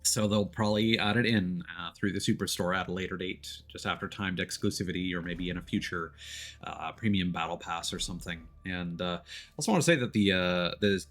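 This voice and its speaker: A man chatting casually as he plays a video game on stream, often pausing and restarting mid-thought. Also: sits low, fairly bright tone. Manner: chatty, hesitant mid-thought, playful exclamations. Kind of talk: casual gameplay commentary and chat. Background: faint game music.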